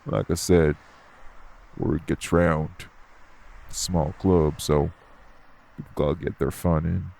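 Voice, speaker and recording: Man acting a low, gravelly, blunt tough guy. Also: steady tone, slow, long pauses, a little mellow, a little hiss or hum, heavily acted. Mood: casual, teasing. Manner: blunt, laid-back, streetwise slangy talk. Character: gruff, blunt, unbothered tough guy working at a nightclub. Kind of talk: audio roleplay acting addressed to the listener.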